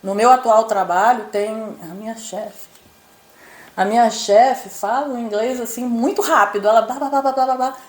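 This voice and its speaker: A woman's voice: fairly high pitch, moderate pace. Chatty Brazilian woman in her fifties. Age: 50s. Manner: chatty, enthusiastic, fillers like "assim". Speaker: co-host, immigrant journalist. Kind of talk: casual chat. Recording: echoey room.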